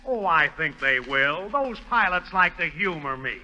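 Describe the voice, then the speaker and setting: A man's voice: fairly high pitch, sing-song, unhurried. A man playing a polite, obliging Marine colonel as the straight man in 1940s radio comedy. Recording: reverberant hall, noisy 1940s radio.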